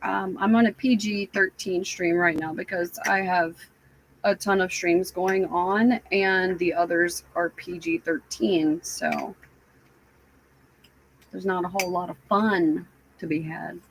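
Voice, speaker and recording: A woman live streamer chatting casually with her viewers, sounding stuffy and raspy, rambling with lots of fillers. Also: lowish voice, steady tone, slight background noise, low-quality recording. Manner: slow, rambling, many fillers.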